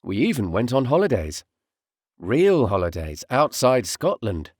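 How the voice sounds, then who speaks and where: A man reading a first-person audiobook narration in a measured storytelling way, in short, deliberate phrases. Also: medium pitch, very animated, a little mellow, breathy edge, no room sound, studio quality.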